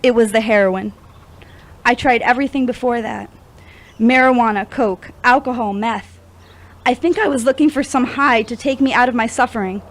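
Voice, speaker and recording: A woman's voice: fairly high pitch, moderate pace, natural voice. A woman playing a wounded, confessional young woman in her twenties in an audio drama, speaking in an earnest, confiding way. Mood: somber confession. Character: wounded, confessional twenty-four-year-old woman, recovering addict. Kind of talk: scripted audio drama acting.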